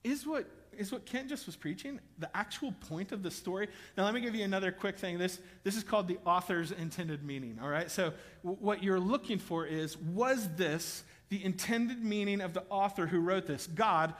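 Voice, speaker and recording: A man preaching a sermon in a church auditorium, chatty and joking with the congregation, then building to loud, emphatic bursts. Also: high-pitched, normal speed, hardly any pauses, big-room sound. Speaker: pastor.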